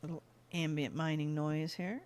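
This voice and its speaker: A let's-play host talks through a mining game in easy, chatty commentary. They think aloud, ask themselves questions and answer them. The pitch sits in a plain middle range. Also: little pitch movement, normal speed, darkish tone. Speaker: gaming channel host.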